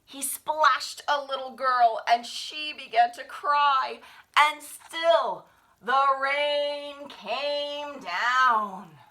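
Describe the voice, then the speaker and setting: High, bright woman reading stories aloud. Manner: theatrical, drawn-out refrains. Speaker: children's story reader at a library. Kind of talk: expressive picture-book read-aloud.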